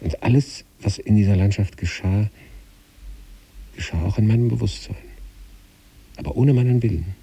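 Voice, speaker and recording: Male narrator for literary prose, very dark and low timbre, measured, contemplative read. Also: slightly rough. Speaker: narrator reading a literary story. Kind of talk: scripted literary narration in the first person, as letters.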